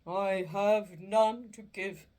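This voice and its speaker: A woman voicing a doomed, chained male ghost, speaking slowly and solemnly in a storybook reading. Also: steady tone, a little mellow. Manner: slow, booming and drawn out.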